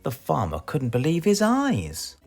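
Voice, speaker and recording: Very animated British man reading a children's story. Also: fairly high pitch, a little slow, vocal fry, soft background music. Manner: dramatic, addresses young listeners with questions. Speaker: storybook reader.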